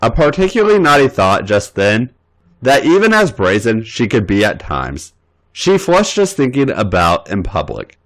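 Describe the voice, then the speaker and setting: A man's voice: on the low side, wildly swooping pitch, normal speed, low-quality recording. A man reading erotic fan fiction aloud as a podcast host, playful and theatrical.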